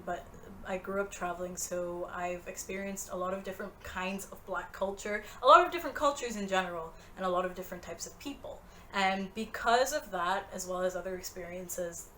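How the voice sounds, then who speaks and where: A woman's voice: medium pitch, fairly bright tone, slight background noise. A woman in her twenties talking earnestly to the camera in a motivational vlog, direct and encouraging. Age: 20s. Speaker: vlogger.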